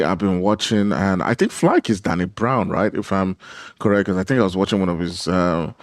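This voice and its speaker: Laid-back Nigerian esports caster. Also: male voice, on the low side, a little mellow, no room sound, clean studio sound. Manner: laid-back, joking, fills pauses with hums. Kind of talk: live game commentary.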